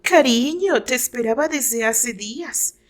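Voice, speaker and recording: A woman's voice: a little high, lively intonation, a little slow, pitched above the performer's own voice. A woman voicing a sly, honey-sweet woman who feigns innocence in a dramatized story, coy and careful.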